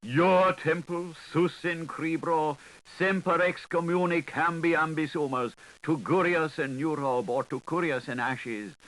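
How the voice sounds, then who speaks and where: A man's voice: fairly high pitch, measured pace, few pauses, a bit bright, voice pushed up, rough recording. A man voicing a haughty, pontifical animal tyrant, pompous, imperious and declamatory, in an audiobook.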